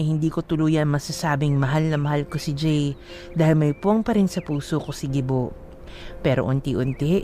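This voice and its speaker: A woman's voice: low voice, warm tone. A woman with a Filipino accent co-hosting a radio show, reading letters and giving advice in an emphatic way. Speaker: radio co-host. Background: background music.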